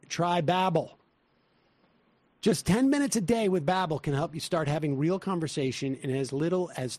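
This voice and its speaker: A man with a Massachusetts accent talks in a fairly high voice. He reads a sponsor spot casually, wandering off into asides and restarts. The sound is dry and close, with no room in it. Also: a little mellow, no room sound. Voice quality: loose, rambling delivery with fillers and restarts. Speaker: podcast co-host. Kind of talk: casual political talk and host-read ad.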